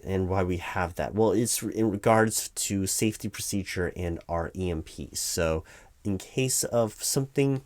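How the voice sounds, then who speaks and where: A man playing a distracted, evasive corporate hiring manager in a one-sided comedic interview roleplay, stammering and rambling officiously. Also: deep voice. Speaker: performer playing a job interviewer.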